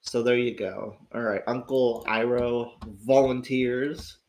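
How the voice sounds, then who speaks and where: A man with a raspy, sick voice, chatting casually as host of his livestream, rambling and swearing with lots of restarts. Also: mid-range voice, fairly even intonation, slow pace, darkish tone. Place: at home.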